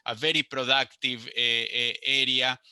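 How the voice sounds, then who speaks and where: Bright-voiced Argentine man, lots of fillers. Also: medium pitch, level tone, normal speed, smooth, no room sound. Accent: Argentine Spanish. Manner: many fillers and repeated words, earnest. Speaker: economist guest. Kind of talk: unscripted interview answer.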